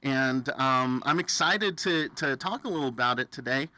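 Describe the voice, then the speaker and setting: A man hosting a casual radio interview, with halting, hesitant phrasing. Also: mid-range voice, animated, sharp tone. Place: radio studio, face to face with guest.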